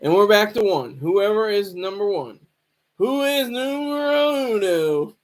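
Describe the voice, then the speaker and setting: A man giving casual, unscripted commentary as a streamer, rambling and halting, often repeating himself. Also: high voice, expressive. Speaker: streamer commenting on hip hop news.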